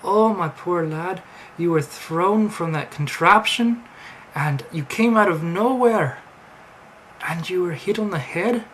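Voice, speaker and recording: Man putting on a high-pitched motherly farm wife. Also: normal speed, slight background noise, natural voice, rough recording. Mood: soothing, fussing.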